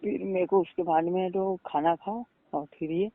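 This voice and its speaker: High-pitched young man on phone. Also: steady tone, moderate pace. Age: early 20s. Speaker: caller seeking health advice. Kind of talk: informal phone conversation. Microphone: phone line. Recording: tinny band-limited phone call.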